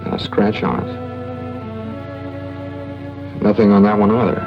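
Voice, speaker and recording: Terse menacing man on the run, creaky voice, 1940s radio drama, muffled old recording. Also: fairly low pitch, quick pace, over music. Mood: quietly menacing. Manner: terse, guarded, insistent. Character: guarded, menacing fugitive man hiding out. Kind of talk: 1940s radio thriller acting.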